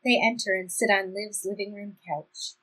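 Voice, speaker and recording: A woman's voice: medium pitch, fairly even intonation, normal speed, bright, forceful tone, husky, low-quality recording. A woman reading stage directions as scripted narration for a play.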